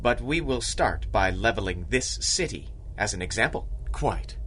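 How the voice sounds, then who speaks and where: Arrogant mechanical mastermind, low male voice, grandiose and wordy, 1930s-style radio serial, noisy background. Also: expressive, moderate pace, a bit bright. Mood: menacing, gloating. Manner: pompous, grandiose, verbose. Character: arrogant robot duplicate of a criminal mastermind.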